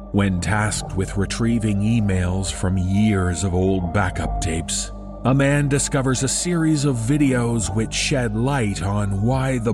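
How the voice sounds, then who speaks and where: Slow, low-voiced male horror show host. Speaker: horror podcast host introducing stories. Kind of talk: scripted narration, story introduction.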